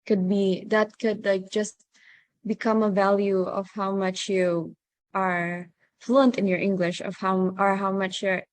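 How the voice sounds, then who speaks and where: Hesitant woman, flat even delivery. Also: mid-range voice, a little slow, dry recording. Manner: hesitant, many fillers and restarts. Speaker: discussion panelist. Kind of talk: debate-style discussion.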